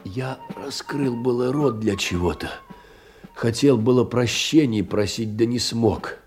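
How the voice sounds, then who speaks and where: An actor playing a poor, meek, aggrieved middle-aged clerk in a theatrical audio drama, plaintive and tender with agitated outbursts. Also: male voice, mid-range voice, unhurried, a little mellow, soft background music, natural voice. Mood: flustered, ashamed. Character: poor, meek, aggrieved clerk pouring out his heart in letters.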